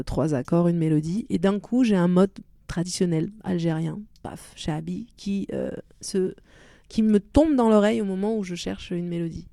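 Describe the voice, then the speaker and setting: A woman's voice: lowish voice, warm tone, no echo, clean studio sound. A woman whose first language is Arabic, a singer-songwriter talking thoughtfully about her music in an interview, often restarting as she looks for the right words. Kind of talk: relaxed interview chat.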